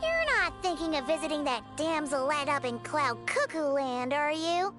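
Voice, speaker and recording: A tiny, excitable fairy sidekick from a video game. The voice is extremely high and childlike, leaping around in pitch with chirpy exclamations. Music plays clearly behind it. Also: female voice, normal speed. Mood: chatty, scheming. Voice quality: squeaky, childlike. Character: Paimon, tiny excitable childlike floating fairy companion, female. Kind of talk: animated video game voice acting.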